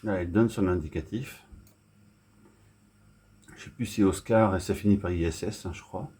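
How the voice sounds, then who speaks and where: A man's voice: deep voice, normal speed, mellow, a little hiss or hum. A man narrating as he listens in on a radio contact, chatty and thinking aloud. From France. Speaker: amateur radio listener commenting. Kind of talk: casual commentary. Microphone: smartphone filming near receiver.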